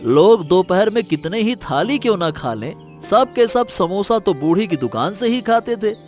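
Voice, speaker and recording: A man's voice: high voice, sing-song, moderate pace, faint music behind. A man voicing a greedy, obsequious sidekick to a village villain in a Hindi moral-story cartoon. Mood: sycophantic.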